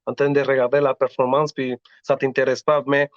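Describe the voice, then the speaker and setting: Singer guest, male, halting and earnest, middle pitch, muffled lo-fi recording. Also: little pitch movement, no echo. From Latin America. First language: Spanish. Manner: earnest, halting, frequent restarts. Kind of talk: casual interview talk. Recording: dull lo-fi audio.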